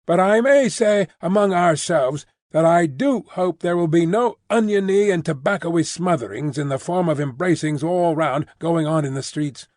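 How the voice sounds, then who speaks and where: A man's voice: on the high side, a little mellow, no echo, clean studio sound. A man voicing a fussy, fiercely loyal Englishwoman housekeeper who talks emphatically and primly. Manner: emphatic, bustling, prim.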